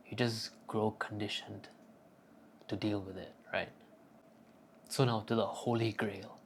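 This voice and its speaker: A man's voice: lowish voice, a little hiss or hum. A young man giving casual self-improvement advice in a cocky, profane, joking manner. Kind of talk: casual crude advice monologue.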